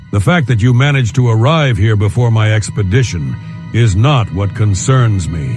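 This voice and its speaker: Man acting a pompous scholar, wildly swooping delivery. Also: on the low side. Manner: pompous, precise, disdainful. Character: pompous, haughty male scholar leading an expedition. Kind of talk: scripted video game character dialogue.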